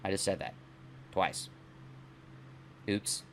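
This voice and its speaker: Middle-aged man reading aloud with emphatic pauses. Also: low voice, animated, vocal fry, a bit bright. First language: not English. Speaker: host reading a book aloud and commenting. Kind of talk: dramatic document reading with casual asides. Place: small dim indoor home studio.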